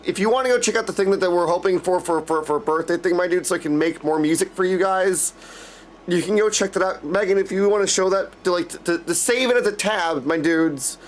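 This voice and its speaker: A man's voice: high-pitched, fairly quick. A man hosting a casual live stream, chatting to his viewers in an effusive, gushing way and calling them dudes. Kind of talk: casual livestream chat to viewers.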